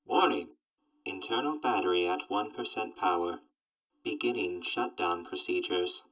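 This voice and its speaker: An actor playing a literal, gloomy robot companion in an audio drama, speaking in clipped, deadpan system announcements. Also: male voice, deep voice, unhurried, distant mic, rough recording. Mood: flat, mechanical. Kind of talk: post-apocalyptic audio drama.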